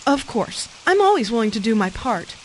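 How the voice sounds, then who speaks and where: A woman as an earnest, high-voiced society guest. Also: sing-song, moderate pace, a little hiss or hum. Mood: indignant, earnest. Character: earnest, self-important, easily flustered woman at a party. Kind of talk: audio mystery drama acting.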